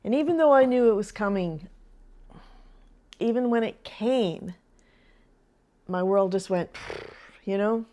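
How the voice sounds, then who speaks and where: An older woman, a retired teacher and grandmother, talks in a chatty, earnest way to camera, sharing advice and often laughing softly between thoughts. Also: medium pitch, slow, pauses often. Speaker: vlogger. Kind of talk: casual advice vlog.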